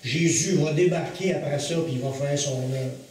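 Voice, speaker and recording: A man with a Québécois accent preaching a sermon to a congregation, earnest, slowing to stress key phrases. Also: fairly high pitch, darkish tone, heavy reverb, far from the mic, slight background noise, rough recording. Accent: Québécois French. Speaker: pastor. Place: church hall with congregation.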